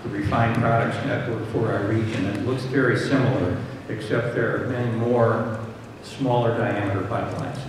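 Low-voiced man in an echoey conference hall. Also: normal speed, hardly any pauses. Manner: explanatory, relaxed, with many fillers. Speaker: panelist answering audience questions. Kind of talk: conference Q&A answers. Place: conference hall panel table. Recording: far-off mic, echoey hall, background noise.

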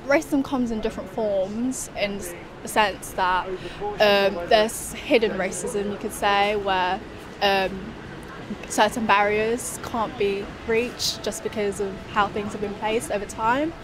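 A woman's voice: mid-range voice, steady tone, takes it slowly, poor audio. Young woman amid street crowd. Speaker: street interviewee. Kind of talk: casual street interview. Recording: crowd noise outdoors.

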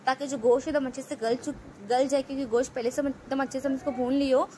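A woman hosting a cooking channel, explaining a recipe step by step in a steady voice-over and often repeating her phrases. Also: high voice, fast, slight background noise.

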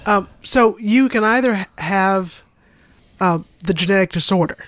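A woman co-hosting a casual podcast chat, agreeable and quick with short replies. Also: medium pitch, no echo. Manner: agreeable, frequent short backchannels. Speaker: podcast co-host.

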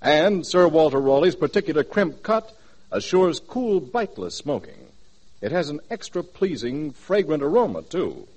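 A man reading a scripted 1940s radio commercial as announcer, with emphatic, measured salesman phrasing. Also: a little high, big pitch swings, darkish tone, slightly rough.

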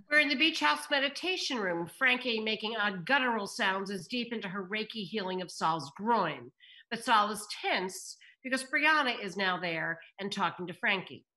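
A woman's voice: medium pitch, animated, moderate pace, bright, forceful tone, poor audio. A woman reading screenplay directions aloud at a table read over a video call. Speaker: stage-direction reader. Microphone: computer video call.

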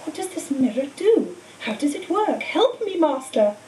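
Cold sneaky wizard teacher guy, a lady reading him, calm and menacing, mellow highish voice, echoey room w/ a little hiss. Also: moderate pace. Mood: frantic. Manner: calm, menacing, coolly matter-of-fact. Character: cold treacherous male wizard teacher, servant of a dark lord. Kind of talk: audiobook character voice. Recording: roomy echo, distant mic, faint hiss.

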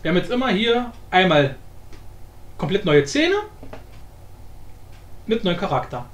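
A man giving a casual, informal software tutorial, explaining step by step. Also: high voice, sing-song, measured pace. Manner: informal, explanatory, often adds tag questions. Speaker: tutorial host. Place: indoor room, voice-over of screen recording. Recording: roomy echo with faint background noise.

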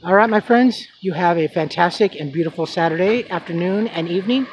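A man's voice: sits high, a little fast, low-quality recording. A man chatting casually as he films a walking tour of his neighborhood, rambling with fillers as he goes. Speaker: vlogger giving a walking tour. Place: outdoors on a residential street. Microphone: handheld camera, filming as he walks. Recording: outdoor noise and passing traffic. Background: traffic and street ambience.

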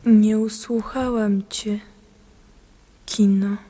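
An actress playing a broken, submissive grieving wife in a radio drama. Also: female voice, mid-range voice, fairly even intonation, drawn-out words, dark tone, husky, soft background music. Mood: numb grief. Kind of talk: radio play acting. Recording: radio drama with long reverberation.

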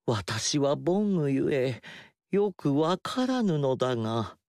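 A man's voice: medium pitch, big pitch swings, slow, darkish tone, dry recording, clean studio sound. A man voicing a young noble warrior in a video game cutscene, speaking politely and modestly. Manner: polite, self-deprecating.

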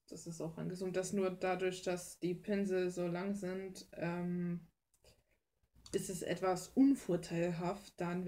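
A woman's voice: lowish voice, mellow. A young woman rambles casually through her art process, informal and self-deprecating with some mild crudeness. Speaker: hobby artist and video host. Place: small cluttered room at home, indoors.

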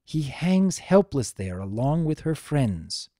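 A calm elder-sister pony princess from a storybook reading, as voiced by the narrator. She is wise and a little weary. Her delivery is unhurried and mellow, solemn yet gentle. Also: mid-range voice, dry recording, studio quality. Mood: grave.

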